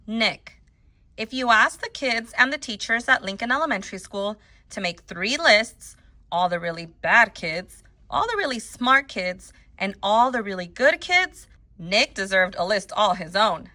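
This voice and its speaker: A woman reading a children's book aloud as a teacher, in an expressive storytelling style with deliberate emphasis. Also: mid-range voice.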